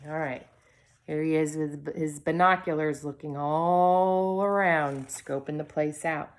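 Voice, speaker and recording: A woman reading a storybook aloud to children, expressively, with deliberate dramatic pauses and short asides to her viewers. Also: sits low, fairly even intonation.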